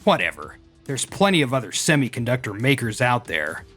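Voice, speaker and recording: An actor playing a smug, blustering company director who is prone to panic, in a manga dub. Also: male voice, medium pitch, big pitch swings, a little fast, a bit bright, soft background music. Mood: smug, dismissive.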